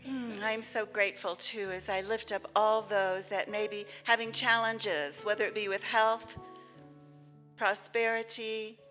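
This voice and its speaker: A woman reading inspiration and leading spoken prayer at a church service, reverent and deliberate, with long pauses. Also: medium pitch, flat delivery, pushed and bright, soft background music.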